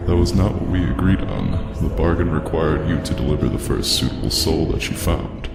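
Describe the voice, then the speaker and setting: A man's voice: very deep voice, brisk, gravelly. A man voicing an imperious, soul-hungry demon deity in a fantasy audio drama, speaking in a grandiose, menacing way. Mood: stern menace. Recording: cavernous echo, long reverb. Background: loud music.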